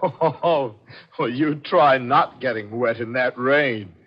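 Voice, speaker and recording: An American actor playing a guilty, rattled man who bluffs innocence in halting, repetitive phrases, in 1940s radio drama style. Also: medium pitch, breathy edge. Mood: feigned shock. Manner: halting, repeating words in agitation. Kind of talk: 1940s radio acting, first-person narration and dialogue. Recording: muffled old radio broadcast, faint music.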